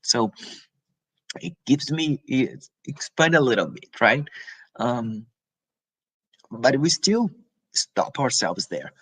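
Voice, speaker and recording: A man from Brazil, presenting a spiritual talk in a halting, informal way with frequent restarts and tag questions, coughing now and then. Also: mid-range voice, very animated, fairly bright tone, husky, rough recording.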